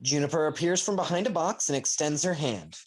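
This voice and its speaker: A narrator reading stage directions aloud at a comedy table read in a husky voice. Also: mid-range voice, brisk, a little hiss or hum. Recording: video call.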